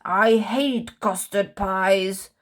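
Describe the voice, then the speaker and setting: A woman voicing a grumpy male storybook character who moans and complains in a drawn-out grumble. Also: mid-range voice, steady tone. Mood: gruff, disgruntled. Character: grumpy, moaning male Mr. Men character who hates everything.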